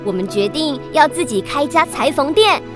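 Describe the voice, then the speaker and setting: High-voiced, bossy big sister. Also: female voice, animated, moderate pace, over music. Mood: soothing. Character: scolding but caring older sister, young woman. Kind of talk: children's radio drama acting.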